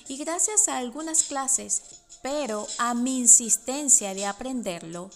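A woman in her forties with a Venezuelan Spanish accent, reading her own personal essay in a reflective, measured way. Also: fairly high pitch, lively intonation, faint music behind. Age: 40s. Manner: reflective, measured, with soft laughs. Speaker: podcast host and writer.

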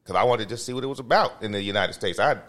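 A man's voice: on the low side, very fast, a bit bright. A man in his fifties telling crude stories on a casual podcast, rambling and restarting his phrases. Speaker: podcast co-host. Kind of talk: casual chat, crude storytelling.

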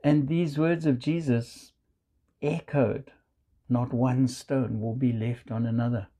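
A man with a South African accent, preaching a measured sermon and quoting scripture. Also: mid-range voice, steady tone, dark tone. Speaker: pastor.

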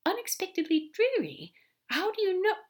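A gentle male angel from an audiobook, acted by a woman narrator, speaks in a lifted pitch. He is prim and fussy, choosing courteous words with a slight hesitance. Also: big pitch swings, unhurried, breathy edge, full character voice. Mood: surprised, polite. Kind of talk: audiobook character dialogue.

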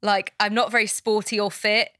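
A woman's voice: mid-range voice, flat delivery, moderate pace, bright, forceful tone, no room sound, studio quality. A young woman in her twenties, a podcast guest chatting casually and poking fun at herself. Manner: self-mocking, laughs while reading.

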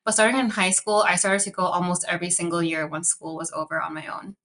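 A woman's voice: lowish voice, little pitch movement, fast talker, fairly bright tone. A young woman from Chicago with an American accent, guest on a podcast, chatty and upbeat, laughing often as she talks. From Chicago, USA. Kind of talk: casual interview conversation.

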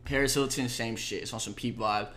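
Young male musician, soft-spoken and slangy, relaxed casual chat. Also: mid-range voice, brisk. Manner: laid-back slangy talk, lots of "like" and "bro". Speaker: musician talking about his EP.

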